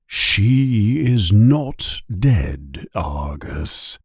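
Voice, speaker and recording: Man as a husky, very slow old wizard headmaster. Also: low voice, big pitch swings, no echo, studio quality, voice pushed down, exaggerated voice. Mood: calm authority. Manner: soft-spoken, measured, solemn. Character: calm, grave elderly male wizard headmaster.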